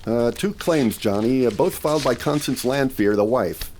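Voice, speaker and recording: A man playing an amiable, matter-of-fact insurance claims man in a 1950s-style radio drama, with small hesitant pauses. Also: mid-range voice, over music, close to an everyday voice. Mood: matter-of-fact briefing.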